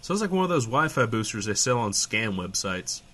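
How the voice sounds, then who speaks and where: An actor playing a sarcastic, hedging, foul-mouthed small-town radio host in a comedic audio drama, trading banter. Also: male voice, lowish voice, sing-song, moderate pace, pushed and bright, a little hiss or hum. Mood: deadpan. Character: irreverent, flustered small-town radio host, adult man.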